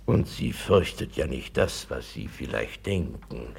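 An actor playing an obsequious, gossipy schemer in a radio play, gravelly and husky, wordy and insinuating in a servile way. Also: male voice, a bass voice, very animated, unhurried, a little hiss or hum, exaggerated voice. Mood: secretive, insinuating. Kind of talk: German radio play acting.

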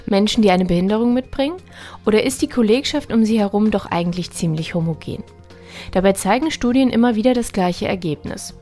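A woman's voice: medium pitch, darkish tone. A woman presents a scripted radio feature, narrating and addressing the listeners directly.

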